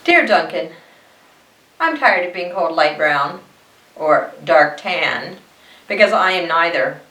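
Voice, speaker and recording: A woman voicing a proud, disgruntled beige crayon from a storybook, airing grievances in a deadpan, plainspoken way. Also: on the low side, takes it slowly, roomy sound, distant mic, voice pushed down, exaggerated voice, rough recording. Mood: proud, aggrieved.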